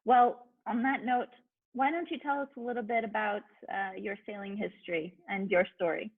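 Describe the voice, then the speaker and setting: A woman's voice: medium pitch, steady tone, normal speed, slightly rough, dry recording. A woman hosting an online talk, giving a friendly, scripted introduction over a video call. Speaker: host of a club talk series. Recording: tinny phone-like call audio.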